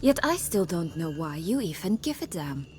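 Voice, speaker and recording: A woman's voice: fairly low pitch, sing-song, normal speed, a little mellow. A woman playing a proud, bitter banished noblewoman in a video game, wry and taunting, with menace. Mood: wry, guarded. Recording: music behind the voice.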